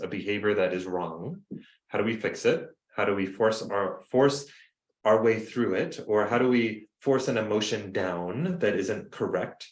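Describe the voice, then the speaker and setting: A man's voice: lowish voice, roomy sound. A man with a New Jersey accent, talking earnestly as a podcast guest on a video call. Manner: animated, earnest, storytelling. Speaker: guest, coach. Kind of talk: casual podcast conversation.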